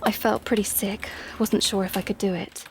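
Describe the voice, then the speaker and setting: A woman's voice: medium pitch, steady tone, moderate pace, a little hiss or hum, close to an everyday voice. An actress playing an anxious, well-meaning young woman in an audio drama, speaking in an earnest, hesitant way. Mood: tense, confiding. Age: young adult. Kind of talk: audio drama monologue and dialogue.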